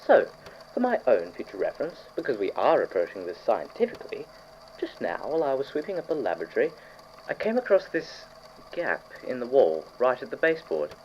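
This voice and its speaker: A man playing an eager, obsessive scientist recording precise, fussy investigation notes for an audio drama on degraded cassette. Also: medium pitch. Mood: methodical, intrigued. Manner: fussy, precise, excitable, with trailing pauses. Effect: degraded old cassette tape. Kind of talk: audio drama found-tape monologue. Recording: muffled, noisy old cassette tape.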